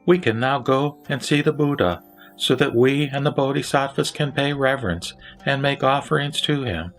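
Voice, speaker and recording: A man playing a composed, wise lay sage in a scripture audio drama, measured and formal. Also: medium pitch, pitched above the performer's own voice, lightly acted. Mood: composed, assured. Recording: background music under the voice.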